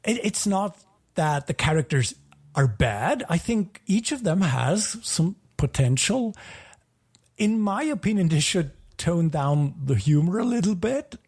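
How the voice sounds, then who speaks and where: A man's voice: high voice, big pitch swings, measured pace, a little mellow, slightly rough. A man co-hosting a podcast in casual review chat, hesitantly qualifying his opinions and repeating words as he goes. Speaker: podcast co-host.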